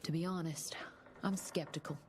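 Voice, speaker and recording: A woman's voice: sits low, steady tone, moderate pace, close to an everyday voice. An actress playing a stern, sardonic female doctor and official in a video game cutscene. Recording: voice over game music.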